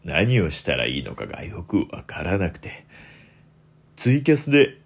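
Young man in a put-on velvety bass. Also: very animated, husky. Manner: deliberately put-on deep velvety voice; self-mocking and playful. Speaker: radio talk host. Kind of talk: solo app radio talk monologue.

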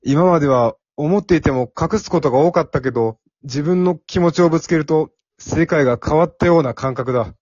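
Man playing a timid, higher-voiced young office worker. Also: a little fast, few pauses, a little mellow, no room sound, lo-fi sound, natural voice. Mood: grateful, amazed. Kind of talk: amateur radio drama acting.

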